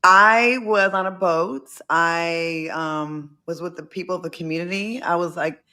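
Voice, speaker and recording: A woman's voice: lowish voice, unhurried, pushed and bright. A woman with a New England accent, chatting casually and laughing at herself as a co-host. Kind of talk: casual chat.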